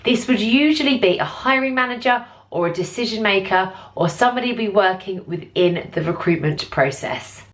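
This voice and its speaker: A woman in her thirties giving an explanatory, step-by-step software walkthrough as a tutorial presenter. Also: medium pitch, animated, normal speed, few pauses. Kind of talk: instructional screen-share walkthrough.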